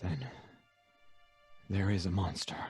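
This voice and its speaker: An actor playing a scientist's worried, loyal friend in an old-time radio drama, with a husky voice and earnest, urgent delivery. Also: male voice, low-pitched, takes it slowly, a little mellow, soft background music, exaggerated voice. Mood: dismayed pleading.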